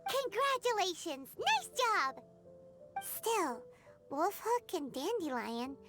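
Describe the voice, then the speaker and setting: A woman's voice: very high-pitched, sing-song, takes it slowly, fairly bright tone, soft background music, heavily acted. A woman voicing a tiny, childlike, chirpy and cheeky fairy companion in a video game. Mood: cheering. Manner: talks about herself in third person.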